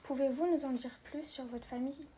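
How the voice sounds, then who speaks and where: A woman interviewer asking flat, scripted questions. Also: sits high, brisk, a little hiss or hum.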